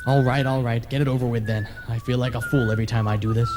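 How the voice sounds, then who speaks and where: Man acting a grumbling ghost in deep reverb. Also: steady tone, fast talker, noisy background, natural voice. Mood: irritated, defiant. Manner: grumbling, sardonic, put-upon. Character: grumpy, fed-up male ghost tired of haunting. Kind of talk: old-time radio play acting. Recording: long reverb, analogue radio broadcast tape.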